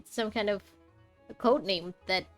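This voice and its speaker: A woman's voice: medium pitch, takes it slowly. A woman giving casual, wry, reactive commentary as she plays a video game live. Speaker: game streamer. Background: faint game music.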